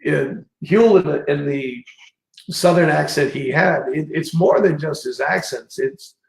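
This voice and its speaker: Gravelly Midwestern-raised man interviewing. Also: a little high, unhurried. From American Midwest. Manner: animated, does lively impressions when retelling. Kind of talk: casual interview chat. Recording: echoey room.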